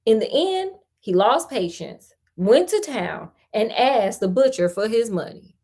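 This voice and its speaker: A woman with an American accent reads a fairy tale in an animated style, with playful, chatty, slangy asides. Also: on the high side, a little slow, slightly rough. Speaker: storyteller and host.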